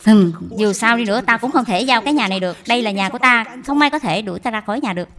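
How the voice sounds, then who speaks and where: A woman playing a proud, stubborn old mother-in-law in a cartoon dub, speaking in a sharp, reproachful way. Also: fairly high pitch, racing, close to an everyday voice. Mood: angry, scolding. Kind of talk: dubbed cartoon drama acting.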